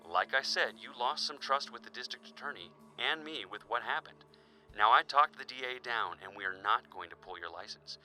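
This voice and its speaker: An actor playing a firm, middle-aged police chief in an audio drama, speaking with deliberate authority. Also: male voice, medium pitch, animated, bright, forceful tone, soft background music, rough recording. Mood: firm, measured. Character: firm male police chief, businesslike superior.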